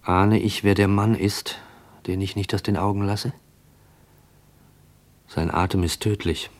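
A man's voice: lowish voice, steady tone, natural voice. An actor playing a fearful man shadowing a stranger, in a tense, questioning radio play monologue. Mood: anxious, watchful. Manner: tense, hushed, questioning, deliberate.

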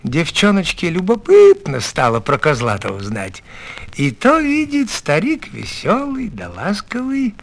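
Male storyteller for fairy tales: high voice, slow pace, expressive narration. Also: creaky.

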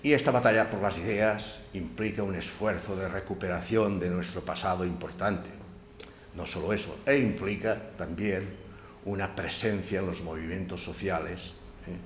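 A man's voice: low voice, normal speed. A man giving a lecture at a library event, emphatic and dotted with tag questions. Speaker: speaker giving a talk. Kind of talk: public lecture. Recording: very echoey room, faint background noise.

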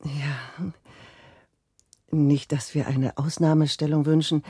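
An actress playing an anxious older married woman in a radio drama, hesitant, polite and pleading, with a husky voice. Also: sits low, little pitch movement, slow, warm tone, dry recording, clean studio sound, exaggerated voice. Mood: pleading, worried. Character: anxious, deferential older married woman, newly arrived deportee.

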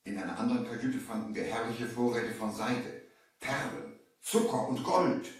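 A man's voice: medium pitch, normal speed, a little hiss or hum, close to an everyday voice. A male actor playing a shaken merchant who dramatically narrates an eerie sea tale in a 1970s children's adventure radio play. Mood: grave, suspenseful. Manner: dramatic, storytelling. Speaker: narrator and lead in an audio drama. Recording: echoey, distant 1970s vinyl record.

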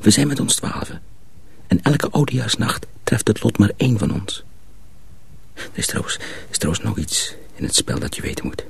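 An actor playing a cautious, secretive young miller's apprentice in a radio drama, husky and often hushed, explaining things deliberately. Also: male voice, lowish voice, very animated, full character voice. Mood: earnest, hushed. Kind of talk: Dutch radio drama acting.

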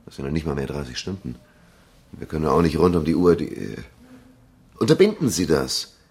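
An actor playing a flustered, sceptical police investigator in a radio crime drama, blustering and breaking off sentences. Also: male voice, a bass voice, very animated, a little fast, a little mellow, husky, heavily acted.

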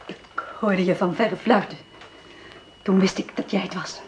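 A woman's voice: mid-range voice, fairly even intonation, measured pace, a little hiss or hum, close to an everyday voice. An actress playing a young farm woman receiving her former lover at night, cold, wary and reproachful. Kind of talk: radio play acting.

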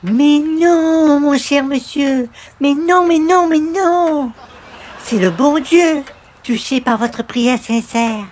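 A woman's voice: high-pitched, takes it slowly, darkish tone. A woman playing a pious, prim and insistent neighbour in a radio comedy, speaking formally. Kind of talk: old-time radio comedy acting.

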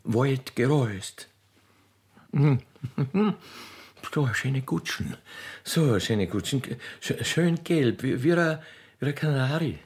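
A man voicing a naive, chatty railway keeper's daughter with an Austrian accent in a radio play, halting and repeating words eagerly. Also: mid-range voice, lively intonation, darkish tone, breathy edge, heavily acted. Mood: dreamy, admiring. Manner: halting, repetitive, rustic and eager. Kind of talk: radio play character acting.

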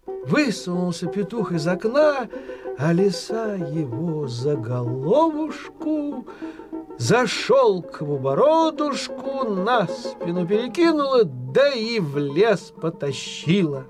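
A man's voice: high-pitched, very animated, takes it slowly, over music. A man narrating a children's fairy tale in a folksy, theatrical storytelling style.

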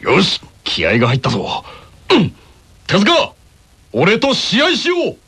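A man plays a determined teenage boy who leads a tennis club. He is earnest and challenging, with very animated intonation, in an anime radio skit with music behind. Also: high-pitched, a little slow, pitched above the performer's own voice, full character voice. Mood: fired-up.

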